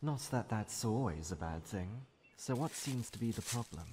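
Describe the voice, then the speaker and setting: A man's voice: lowish voice, lively intonation, moderate pace, slight background noise, close to an everyday voice. A man voicing a poised, wry female adventurer in a comic dub, speaking with dry, polite formality. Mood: wry, friendly. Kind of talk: amateur comic dub, narration and dialogue. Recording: loud music over the voice. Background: loud music.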